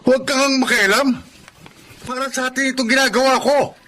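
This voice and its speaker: A man's voice: very high-pitched, fairly even intonation, brisk, sharp tone, a little hiss or hum. A Filipino man playing a corrupt, hot-tempered middle-aged village captain in a radio drama, blustering and domineering. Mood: angry.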